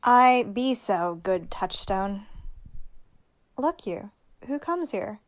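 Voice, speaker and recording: Woman as a weary traveller, slow speech. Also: mid-range voice, lively intonation. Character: weary noble traveller in a forest. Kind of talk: Shakespearean radio play acting.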